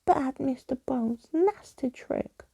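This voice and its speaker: A woman's voice: high-pitched, expressive, slow, vocal fry, mellow, breathy edge, no echo, voice pushed up, heavily acted. A woman voicing a whiny, desperate ghost who pleads and demands in childish broken phrases for a storybook. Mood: whining, pleading.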